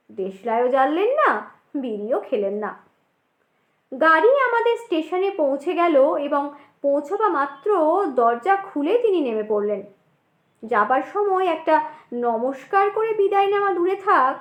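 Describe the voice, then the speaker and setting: A woman's voice: sits high, echoey room. A woman narrating a horror story in a dramatic, suspenseful, theatrical style.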